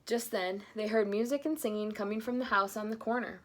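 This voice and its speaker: A woman reads a children's storybook aloud in a middle-pitched voice. She narrates as a read-aloud and drops in playful asides of her own. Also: steady tone, fairly quick. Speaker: storybook reader.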